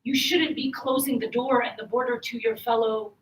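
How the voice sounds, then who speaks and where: A woman's voice: a little high, steady tone, normal speed. Woman panelist in an echoey room. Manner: hesitant, many fillers. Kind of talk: panel discussion. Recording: echoey room, distant mic, faint noise.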